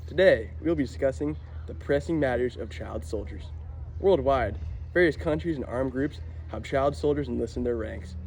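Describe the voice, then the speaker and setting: A young man anchors an amateur news segment with a formal delivery. His voice sits at a middle pitch, and faint outdoor traffic can be heard behind him. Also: moderate pace, gravelly, a little mellow.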